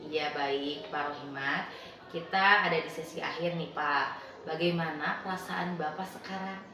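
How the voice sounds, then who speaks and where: A woman conducts a coaching interview. She is polite and attentive, with a slightly low voice. The recording is heavily reverberant, with clear noise behind her. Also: fairly even intonation, a little slow, sharp tone. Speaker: teacher coach. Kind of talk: role-play coaching interview. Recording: very echoey room with clear noise.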